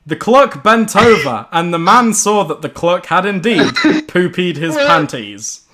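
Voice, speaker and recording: A man's voice: sits high, lively intonation, unhurried, sharp tone. A young man reading a silly horror story to friends, giggling and stumbling over the words as storyteller.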